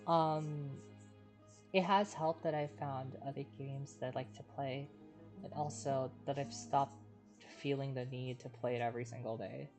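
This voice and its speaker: A woman streaming a game, chatting casually in a rambling, halting, reflective way. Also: very deep voice, steady tone, a little mellow. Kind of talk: casual livestream chat. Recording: stream voice over game music.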